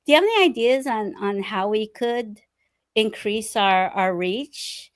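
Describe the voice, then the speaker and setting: A woman with a mid-range voice hosts a relaxed interview over a video call. She asks her questions thoughtfully and laughs easily. The recording is dry and close. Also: mid-range voice, measured pace, no room sound. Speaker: interview host.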